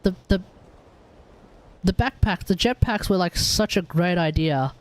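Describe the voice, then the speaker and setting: A man's voice: high-pitched, unhurried. A young man with a laid-back, musing manner, casually commentating on a game and chatting with viewers. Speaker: live streamer.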